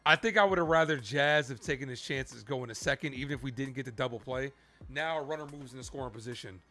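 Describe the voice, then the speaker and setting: A man hosting a live fan baseball stream, calling play-by-play with excitable calls and chatty asides to viewers. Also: mid-range voice, fast talker, faint music behind. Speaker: livestream host and baseball play-by-play commentator.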